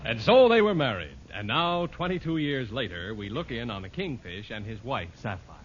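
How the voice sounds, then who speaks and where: A man's voice: medium pitch, big pitch swings, moderate pace. A man announcing a 1940s radio show in a polished, emphatic scripted style. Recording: muffled vintage radio broadcast. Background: loud music.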